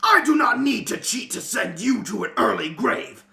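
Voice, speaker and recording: A man voicing a sneering, menacing monster champion in a fantasy reading, with booming theatrical shouts. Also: expressive, normal speed, creaky, heavily acted. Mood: furious, menacing. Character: sneering monstrous male champion warrior, menacing servant of evil. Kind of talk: amateur fantasy reader's theater.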